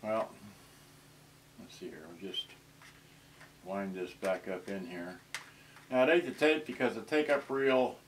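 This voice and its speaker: Low-voiced older man, muttering. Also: a little hiss or hum, low-quality recording. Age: older, 60s. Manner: halting, muttering to himself while tinkering. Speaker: vintage boombox collector presenting his channel. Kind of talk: casual unscripted demonstration. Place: cluttered indoor storage room. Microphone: camera microphone, tripod then handheld.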